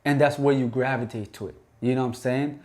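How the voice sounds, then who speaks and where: A Somali-born man around thirty, co-hosting a casual podcast chat, animated and full of restarts. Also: medium pitch, a little fast. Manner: animated, frequent restarts and "you know what I'm saying". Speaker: podcast co-host. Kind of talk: casual conversation.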